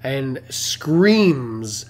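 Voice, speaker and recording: A man's voice: mid-range voice, big pitch swings, drawn-out words, a little mellow. A middle-aged man from Detroit, congested, chatting casually and rambling as a livestream host, with lots of fillers and restarts. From Detroit, Michigan.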